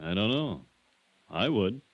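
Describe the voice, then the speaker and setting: An actor playing a shrewd, worldly banker with a wry, folksy, unhurried manner in a 1950s radio drama. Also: male voice, on the low side, big pitch swings, no room sound, full character voice. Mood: matter-of-fact. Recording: dull, dry vintage radio recording.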